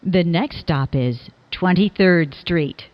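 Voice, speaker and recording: A woman delivers scripted transit announcements at a slow, measured pace. Her voice sits slightly low, and the phrases are formal and clipped, as if pieced together. The recording is a little dull and lo-fi. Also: no echo. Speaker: subway train announcer.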